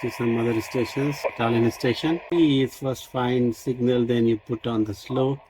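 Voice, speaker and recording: A man casually demonstrating a product unscripted, explaining technical details in a halting way. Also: medium pitch, fairly even intonation, background noise. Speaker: radio reviewer and demonstrator.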